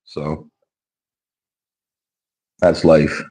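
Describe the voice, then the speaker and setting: A hoarse man chatting casually on his vlog as a YouTuber and car builder. He rambles in an easygoing way, restarting his sentences, into a wireless clip-on mic. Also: rumbling low, level tone, drawn-out words, mellow.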